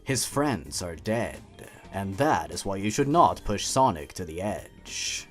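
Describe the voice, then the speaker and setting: A man's voice: low voice, very animated, slow, soft background music. A man reading stories aloud and commenting on them in a sarcastic, mock-serious, theatrical way. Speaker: YouTube creepypasta reader and commentator. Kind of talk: scripted commentary and dramatic story reading.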